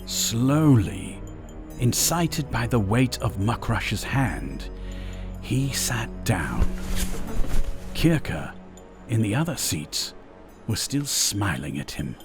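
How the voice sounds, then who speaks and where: A man's voice: lowish voice, big pitch swings, takes it slowly, pauses often, over music. A man narrating an audio drama in a scripted, dramatic storytelling style.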